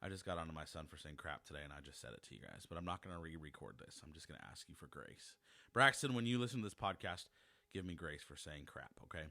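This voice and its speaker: A man's voice: very deep voice, expressive, fast talker, gravelly, bright, forceful tone. A man, hosting a casual solo podcast and talking straight to his listeners in a chatty, self-deprecating way. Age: 40s. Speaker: podcast host.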